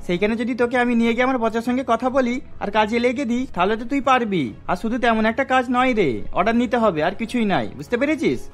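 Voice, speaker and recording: A woman plays a plain-spoken village man in a cartoon. The speech is fast and persuasive. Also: on the high side, soft background music, close to an everyday voice. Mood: friendly, persuasive. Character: male villagers: father, friend, boss, plain-spoken.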